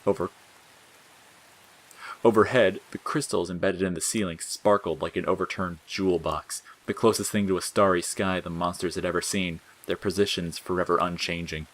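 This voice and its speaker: Male narrator for audio fiction, low register, scripted storytelling. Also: fairly even intonation, moderate pace, fairly bright tone.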